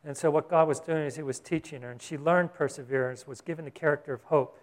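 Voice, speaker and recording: A man delivering a Bible-teaching sermon in a relaxed, conversational manner. His voice sits a little higher than most men's. He keeps checking in with his listeners through short questions. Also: fast. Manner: conversational preaching, asks the congregation "Got it?". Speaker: pastor.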